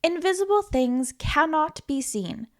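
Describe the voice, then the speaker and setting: A woman reading a children's book aloud as a storytime host, in an expressive, playful manner with questions to the listener and dramatic pauses. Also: high-pitched. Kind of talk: animated children's read-aloud.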